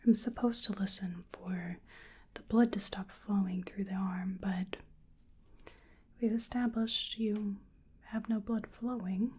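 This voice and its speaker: A woman playing a bookish, polite cryptid researcher in a soft-spoken ASMR roleplay, breathy and largely whispered, gently musing to herself close to the microphone. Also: mid-range voice, steady tone, takes it slowly. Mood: musing, methodical.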